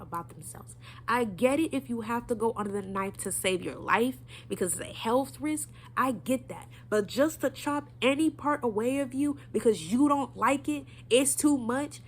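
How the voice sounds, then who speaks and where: Young woman w a stuffy nose, kinda high voice, preachy pep talk to camera, faint hum behind. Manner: earnest, preachy, direct address. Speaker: vlogger.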